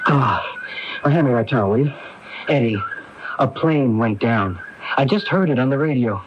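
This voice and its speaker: A man's voice: medium pitch, lively intonation, moderate pace, background noise, natural voice. A man playing an evasive, guilt-ridden husband, sardonic and testy, in a radio drama. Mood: urgent. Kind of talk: 1980s radio drama acting. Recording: dull AM radio broadcast recording.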